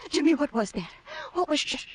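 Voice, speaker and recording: A woman's voice: high voice, lively intonation, a little fast, slight background noise. An actress playing a frightened young woman in an old-time radio horror drama, anxious and pleading. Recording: vintage radio drama recording.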